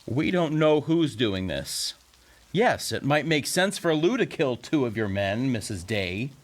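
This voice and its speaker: A man's voice: medium pitch, very animated, unhurried. A man playing an earnest, reasoning, downcast peacemaker in an audio drama.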